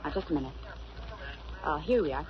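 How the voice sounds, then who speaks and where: An actress playing a brisk, wry, loyal detective's assistant, in 1940s radio drama style. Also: female voice, deep voice, expressive, crowd noise. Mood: brisk, efficient. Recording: noisy, muffled old radio recording.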